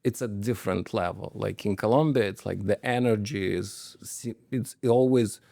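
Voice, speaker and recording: Gravelly-voiced man. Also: on the low side, normal speed, warm tone, no echo, clean studio sound. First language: Romanian. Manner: hesitant, many fillers and restarts. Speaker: guest, company manager. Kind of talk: conversational interview storytelling.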